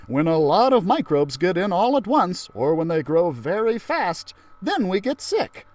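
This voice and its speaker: Man voicing an animated, high-pitched lady science teacher. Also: a little slow, dry recording. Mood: explanatory, upbeat. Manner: emphatic, explanatory storybook delivery. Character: upbeat, theatrical female science teacher, adult, enthusiastic.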